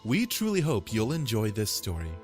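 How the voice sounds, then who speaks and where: A man voicing a polite male announcer character, speaking formally and quietly. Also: on the low side, huge pitch swings, moderate pace, music in the background.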